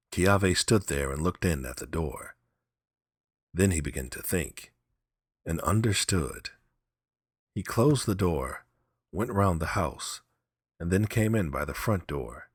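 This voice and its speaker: A man reads a story aloud in a low voice. His scripted narration is slow and measured, and the sound is dry and close. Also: expressive, slightly rough, no echo. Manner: measured, deliberate. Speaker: audiobook narrator.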